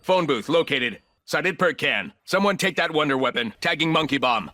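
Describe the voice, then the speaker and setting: An actor playing a ruthless, arrogant corporate executive turned combat operator in a video game, speaking in clipped, commanding, coolly condescending lines. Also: male voice, a little high, lively intonation, normal speed, bright, forceful tone. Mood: cold, commanding. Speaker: playable military shooter operator.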